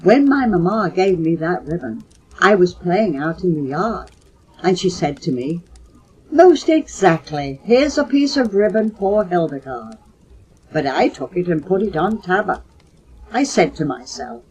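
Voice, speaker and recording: A woman's voice: big pitch swings, a little slow, a little mellow, breathy edge, full character voice, poor audio. Older woman reciting as an indignant, grieving little girl. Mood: mournful, guilty. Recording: low-fidelity home audio cassette.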